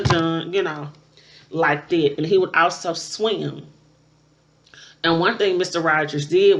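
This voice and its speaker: A woman's voice: on the low side, muffled recording. Casual, emphatic woman in her late thirties. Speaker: vlogger reviewing a movie. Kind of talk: casual unscripted chat to camera.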